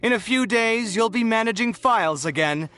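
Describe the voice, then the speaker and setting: A young man voicing an excitable, exasperated architect in a video game, with dramatic, emphatic delivery. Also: sits high, normal speed. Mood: indignant. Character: excitable, indignant, debt-ridden young male architect. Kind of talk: animated video game voice acting. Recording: studio voice over game music. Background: game music.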